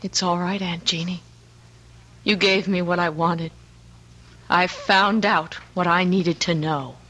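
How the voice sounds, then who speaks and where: An actress playing a desperate, haunted young pregnant wife in an old radio horror drama, speaking slowly with theatrical intensity. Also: female voice, fairly low pitch, steady tone, dull audio, noisy background. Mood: tense, pleading.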